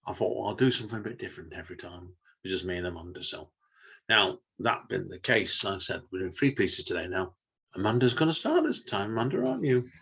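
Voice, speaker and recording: A man's voice: fairly low pitch, sing-song, quick pace, creaky, pushed and bright, slightly rough. A man with a Manchester-area Northern English accent, chatting as a podcast co-host in a rambling way full of tag questions. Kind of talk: casual podcast chat.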